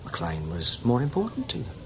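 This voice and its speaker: An actor playing a seasoned, cynical older British spy in a radio drama, talking in a wry, knowing, emphatic way. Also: male voice, medium pitch, huge pitch swings, a little fast, noisy background. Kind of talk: radio drama acting, conversational.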